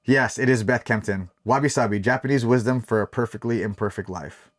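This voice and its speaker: A man's voice: medium pitch, a little fast. A man hosting a podcast, talking in an enthusiastic, rambling way with lots of fillers. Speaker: podcast host. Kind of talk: casual podcast conversation.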